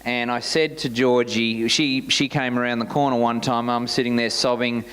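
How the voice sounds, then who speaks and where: A man hosting a business forum, introducing the guest speaker through a relaxed personal anecdote. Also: mid-range voice, normal speed, clean tone. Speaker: event host.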